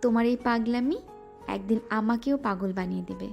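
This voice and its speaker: A shy young woman who scolds and brushes off her admirer, coy underneath, as an actress voices her. Her voice sits high and bright, with music running beneath her lines. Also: moderate pace, vocal fry. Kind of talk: Bengali audio drama acting.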